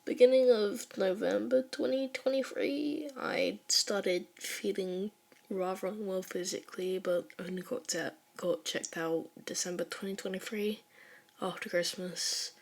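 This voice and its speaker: A woman's voice: mid-range voice, fairly even intonation, a little slow, creaky, a little mellow. A young adult woman speaking informally as she introduces and reads her own fan fiction. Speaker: podfic author and reader. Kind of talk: casual spoken intro and fan fiction narration.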